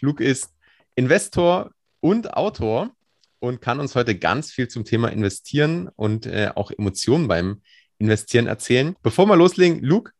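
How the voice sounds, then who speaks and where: A man's voice: mid-range voice, no room sound, clean studio sound. A man hosting a podcast, asking his guest questions in a friendly, upbeat way. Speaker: podcast host. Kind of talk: podcast interview.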